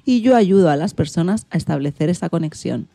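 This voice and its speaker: A woman's voice: lowish voice, animated, fairly quick, vocal fry, warm tone, no echo. A woman hosting a radio show, presenting from a prepared script. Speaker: host.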